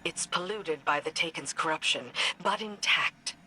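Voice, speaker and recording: A woman's voice: sits low, normal speed, close to an everyday voice, low-quality recording. An actress playing a dry, wary, pragmatic female commander, giving businesslike video game mission briefings with a wry edge. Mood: wary, matter-of-fact.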